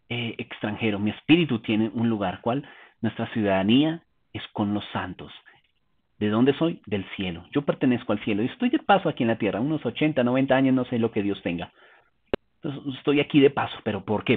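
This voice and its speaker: Hombre predicador de voz ronca. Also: male voice, on the low side, husky. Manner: calm, explanatory, asks rhetorical questions. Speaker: preacher. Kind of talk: teaching sermon. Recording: tinny phone-like sound.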